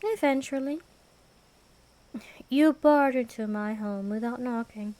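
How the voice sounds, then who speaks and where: A woman playing an ancient vampire queen in an ASMR roleplay, aloof and menacing yet protective, with a measured, formal, imperious delivery. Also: fairly high pitch, dark tone, dry recording, rough recording. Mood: cool, menacing. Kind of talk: ASMR roleplay, scripted fantasy monologue.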